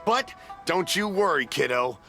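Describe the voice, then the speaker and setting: An actor playing a friendly, chatty festival stall vendor in an animated game, folksy and eager to please. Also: male voice, a little high, measured pace, faint music behind, exaggerated voice. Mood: kindly.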